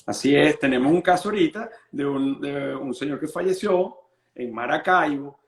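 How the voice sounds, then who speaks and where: Man, lawyer, patient explainer, stumbles and repeats words, higher pitch, echoey room. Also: moderate pace. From Venezuela. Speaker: estate planning lawyer. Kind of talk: explanatory interview answers.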